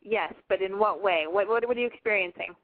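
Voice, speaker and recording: A woman in her twenties from Los Angeles, hosting a call-in advice show, frank and gushing. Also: medium pitch. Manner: frank, gushing, talks fast. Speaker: call-in radio advice host. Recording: tinny phone-line sound.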